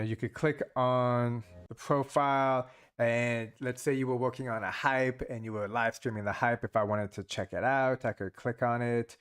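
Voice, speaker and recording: A man's voice: medium pitch, clean tone. A man giving casual, rambling commentary while playing mobile multiplayer games, thinking aloud with many restarts. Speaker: gaming channel host.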